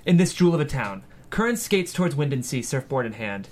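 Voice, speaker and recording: A man's voice: medium pitch, sing-song, a little fast, a little mellow. A man reads scene headings and action lines for a screenplay table read. His voice is mid-range, delivering scripted narration. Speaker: stage directions narrator.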